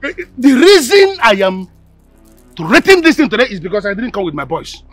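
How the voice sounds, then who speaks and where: A blustering, pompous big man in a rage, played by a man, his voice very high and bright, the words tumbling out fast. He repeats himself over and over and shouts people down. The sound is dry and close, with faint music underneath. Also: huge pitch swings, no room sound, full character voice. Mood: furious. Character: pompous hot-tempered man, a rich regular. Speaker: angry customer called Chief.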